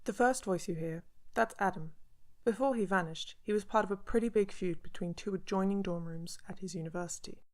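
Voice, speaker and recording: A woman playing the serious host of a true-crime mystery show, giving measured, ominous scripted narration. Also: medium pitch, slight background noise, natural voice. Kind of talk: scripted narration in a radio drama. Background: music under the voice.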